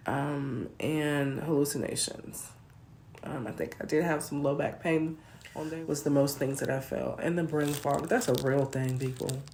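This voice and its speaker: A woman with a naturally deep voice, hoarse while ill, telling a casual, rambling personal story and correcting herself as she goes. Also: a little mellow, a little hiss or hum. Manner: rambling, self-correcting, conversational. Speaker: vlogger.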